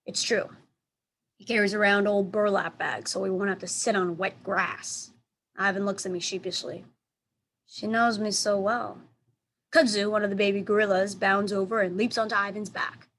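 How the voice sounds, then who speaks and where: A woman teacher reading a children's storybook aloud in expressive narration. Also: medium pitch, normal speed. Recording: clear background noise.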